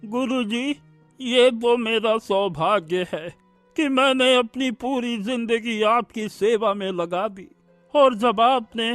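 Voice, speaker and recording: A man as a very high-pitched humble old disciple. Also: a bit bright, full character voice. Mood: grateful, wistful. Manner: reverent, earnest, pleading. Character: devoted, humble elderly male disciple and son-in-law.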